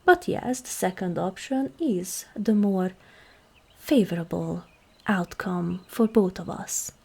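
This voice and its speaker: A woman playing a regal, seductive ancient demon queen in an ASMR roleplay, measured and commanding, with a husky, breathy, creaky voice that often softens toward a whisper. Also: mid-range voice, animated, exaggerated voice. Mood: solemn, persuasive. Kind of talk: ASMR roleplay, scripted monologue to the listener.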